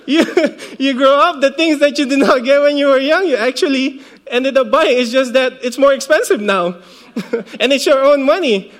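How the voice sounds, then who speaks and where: A man's voice: very high-pitched, fairly bright tone. A man in his forties from the Philippines, preaching a sermon in a conversational way with light asides and laughs. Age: 40s. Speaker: pastor.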